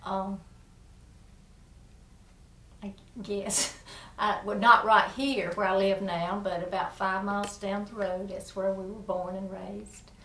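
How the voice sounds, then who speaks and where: A woman's voice: mid-range voice, little pitch movement, takes it slowly, long pauses, sharp tone, reverberant, recorded from a distance. A woman, reminiscing in a folksy, rambling way in an oral history interview, often chuckling. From South Carolina, USA. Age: 70s. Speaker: interviewee, amateur musician.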